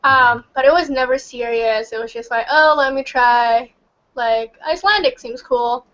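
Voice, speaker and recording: A woman's voice: on the high side, normal speed, fairly bright tone. A young woman from Indonesia whose first language is Indonesian, chatting casually in a study group and filling her talk with "like" and "um". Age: young adult. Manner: chatty, many fillers like "like" and "um". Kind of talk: casual chat. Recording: dull lo-fi recording.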